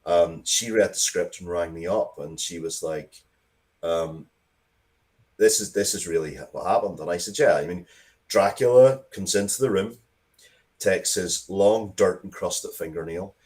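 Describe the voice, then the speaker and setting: A man with a low voice talks as an interview guest. He tells stories with emphasis and rambles a little, often breaking off and starting a sentence again. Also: unhurried, a little mellow. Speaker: guest, writer of Dracula media. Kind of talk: animated interview talk, storytelling.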